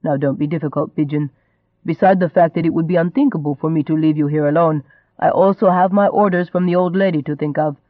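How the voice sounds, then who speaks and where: A man's voice: a little high, fairly even intonation, fast, dry recording, phone-quality sound, close to an everyday voice. A man acting a teasing, roguish young nobleman.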